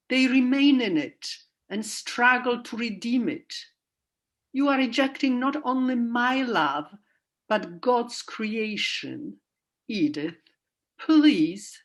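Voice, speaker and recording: An anguished old mother in a radio drama, acted by a woman. She speaks very slowly at a middling pitch, leaning on her words as she pleads and reproaches with devout conviction. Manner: slow, emphatic pleading and admonishing. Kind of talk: radio play acting.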